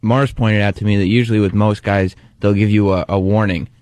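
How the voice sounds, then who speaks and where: A man's voice: fairly low pitch, steady tone, brisk, darkish tone, dry recording. A man on a radio show explaining things in casual conversation.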